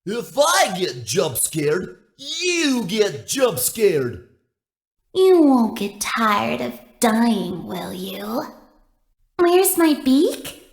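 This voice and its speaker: A woman's voice: fairly high pitch, big pitch swings, gravelly, reverberant, full character voice. A woman voicing a flirtatious, gleefully menacing female animatronic bird in a video game. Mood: teasing menace. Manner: sing-song, teasing, slow menace. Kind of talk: video game villain lines.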